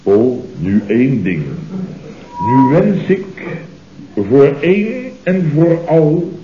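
Radio comedy boss: high-pitched, pompous, theatrically offended, muffled roomy vintage recording. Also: male voice, expressive, takes it slowly, over music. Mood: indignant, demanding. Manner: pompous, repetitive, exclamatory. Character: blustering, indignant boss, theatrically offended and muddled. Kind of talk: comic radio play acting, around 1970.

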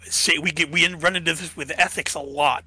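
A man's voice: fairly high pitch, steady tone, brisk, bright, forceful tone, husky. A man co-hosting a podcast, musing through philosophy in casual chat, checking in with "right?" and losing his voice. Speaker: podcast co-host.

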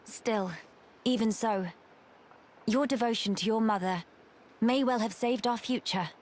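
An actress playing an earnest young noblewoman in a video game, speaking in formal, measured diction. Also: on the high side, lightly acted.

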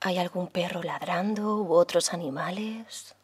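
A woman leading a guided meditation as a yoga guide, speaking softly and soothingly, unhurried, with pauses between phrases. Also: fairly low pitch, husky. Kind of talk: guided meditation, slow calm instruction.

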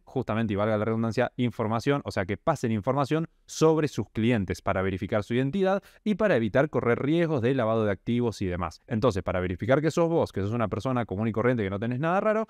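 A man's voice: mid-range voice, a little mellow, no echo, clean studio sound. A young man with a Rioplatense Argentine accent, walking viewers step by step through a tutorial as host of a finance channel. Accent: Rioplatense Spanish. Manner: direct, walks viewer step by step. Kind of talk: casual tutorial explainer. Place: small indoor room.

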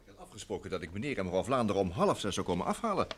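A man's voice: low voice, sing-song, racing. An actor playing a genial, easygoing man showing people around in a vintage radio play, breezy, familiar and reassuring. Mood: affable. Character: genial, easygoing male driver and guide, quick to chuckle. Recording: noisy radio play, vehicle sound.

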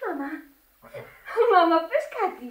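A woman's voice: very high-pitched, lively intonation, slight background noise. An actress playing an eager, lovestruck teenage girl in a Greek radio drama, girlish and impulsive. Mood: coaxing. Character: eager, lovestruck teenage Italian-immigrant girl, pleading daughter. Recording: roomy, distant old radio recording.

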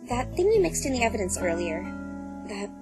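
A woman's voice: a little high, sing-song, fairly quick. A woman voicing a serious, guarded, bookish young female unicorn pony in cartoon game dialogue, expressively. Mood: guarded, subdued. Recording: background music under the voice.

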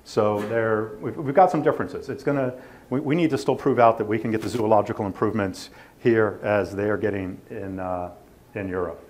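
A man presenting technical slides informally as a company representative, speaking haltingly and with self-deprecation. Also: lowish voice, steady tone, darkish tone, low-quality recording.

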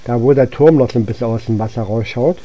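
Very dark-voiced man casually narrating gameplay. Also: mid-range voice, fast, poor audio. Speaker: gaming video host. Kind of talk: casual let's-play commentary.

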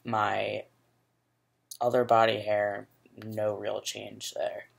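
A young man talking casually to his laptop webcam in a small room, candid and rambling, with a rumbly voice. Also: monotone, takes it slowly. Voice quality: rumbly, gravelly. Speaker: vlogger. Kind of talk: casual video diary. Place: small bedroom indoors.

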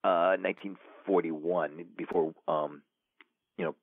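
A man being interviewed as an author, talking in a halting way with many fillers and restarts. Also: low voice, steady tone, no echo. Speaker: author guest. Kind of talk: remote interview answers. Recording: tinny phone line.

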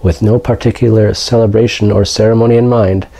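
A man in his thirties reading a literary short story aloud in a measured way, recorded in a living room a few feet from the camera. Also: lowish voice, steady tone, gravelly, warm tone. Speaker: story reader. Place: indoors, living room with books and brick fireplace.

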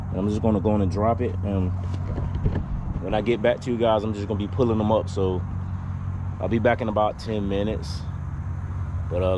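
A man's voice: on the low side, background noise. A middle-aged man chatting casually and folksily to his viewers as he vlogs outdoors on a camera. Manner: folksy, informal, repeats "y'all". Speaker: fishing vlogger. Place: outdoors on a wooden waterside boardwalk. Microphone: action camera, at arm's length or nearby.

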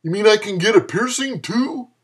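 A man's voice: high-pitched, lively intonation, moderate pace, breathy edge, voice pushed up. A man voicing a clueless, easily awed young slacker in a dramatic story reading, with dopey, drawling slang. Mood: dim enthusiasm. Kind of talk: dramatic reading of flash fiction.

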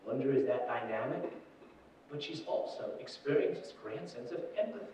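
A man's voice: mid-range voice, a bit bright. Emphatic man in an echoey ballroom. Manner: emphatic, quick asides, repeats key phrases. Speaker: keynote speaker. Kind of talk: conference keynote talk. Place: dark conference ballroom on stage. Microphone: room PA picked up by a far camera. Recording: very echoey hall, distant, dull sound.

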